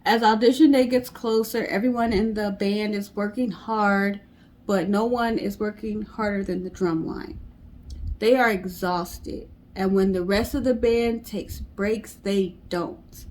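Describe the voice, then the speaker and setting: Female storytime co-host, unhurried casual narration, mid-range voice. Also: mid-range voice, fairly even intonation, a little mellow.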